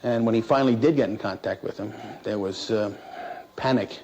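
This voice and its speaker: A man who works as an air traffic controller recounts his experience in an interview in a halting, plain-spoken, matter-of-fact way. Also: lowish voice, a crowd in the background. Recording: noisy 1960s interview recording.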